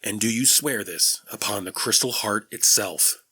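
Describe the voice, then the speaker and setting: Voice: medium pitch, normal speed, sharp tone, husky, dry recording. A performer voicing a royal pony father, earnest and formal, in a cartoon drama. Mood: solemn. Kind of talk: animated fan drama voice acting.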